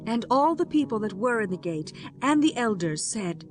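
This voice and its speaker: A woman narrating a scripture audiobook in a measured, formal way. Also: a little high, sing-song, faint music behind.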